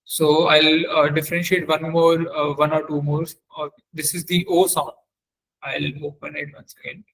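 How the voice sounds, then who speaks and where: A man presenting a technical webinar as a trainer, explaining haltingly and often repeating and correcting himself. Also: a little high, little pitch movement, creaky, a bit bright, rough recording. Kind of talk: technical lecture with screen demonstration.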